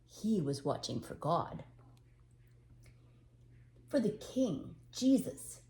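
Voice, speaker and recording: A woman's voice: lowish voice, very animated, drawn-out words, mellow, reverberant, slight background noise. An older woman narrating a storybook tale expressively as a storyteller.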